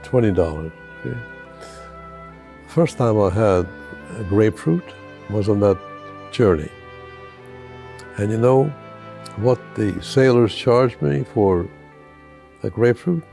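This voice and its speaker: A man's voice: fairly low pitch, expressive, slow, gravelly, pauses often, mellow, faint music behind. An older man from Austria recalling his journey in a chatty interview, asking rhetorical questions. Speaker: survivor interviewee. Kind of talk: recorded interview.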